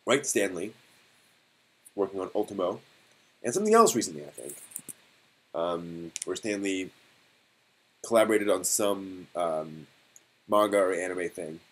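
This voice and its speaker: A man hosting a casual live stream discussion, thinking aloud in a halting way and posing rhetorical questions. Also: deep voice, lively intonation.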